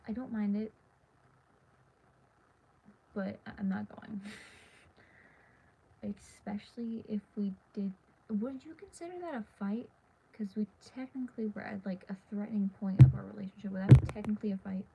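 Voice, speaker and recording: A teenage girl livestreaming from her dark bedroom at night, talking in a tired, half-whispered ramble while losing her voice. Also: medium pitch, steady tone, normal speed, vocal fry, pauses often. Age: teens. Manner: hushed, half-whispered and rambling with many fillers. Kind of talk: casual late-night livestream chat. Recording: dull lo-fi phone audio with faint hiss.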